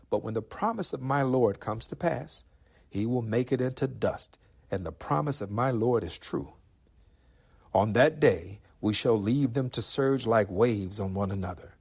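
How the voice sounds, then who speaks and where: Male reader, medium register with some huskiness, for solemn and formal scripture narration, delivered in a measured, declamatory way. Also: medium pitch, a little mellow, slightly rough. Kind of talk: solemn scripture recitation in English translation.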